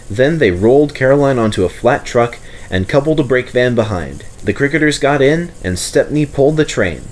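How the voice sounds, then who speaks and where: A male storyteller narrates a children's book. His voice sits at a middle pitch and moves through it with plenty of animation.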